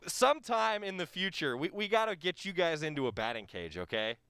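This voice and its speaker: A man's voice: on the high side, expressive, fairly quick, bright, forceful tone, smooth, no echo, studio quality. A podcast host interviewing a college athlete, upbeat and enthusiastic, often stumbling over how he starts a sentence. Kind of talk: friendly sports interview.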